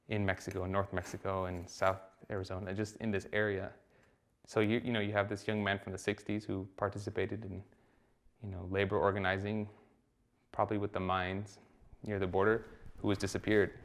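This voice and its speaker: Low, flat-voiced man musing on art. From Costa Rica. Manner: reflective, loose, with many fillers and restarts. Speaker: artist. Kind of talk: documentary interview.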